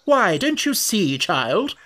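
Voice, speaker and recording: A bossy chess queen from a children's audiobook, acted out by a man. The voice sits well up high, sharp and scolding. Everything is delivered as a stern command from someone quick to lose patience. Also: sing-song, exaggerated voice. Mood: sharp, indignant. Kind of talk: audiobook character voice.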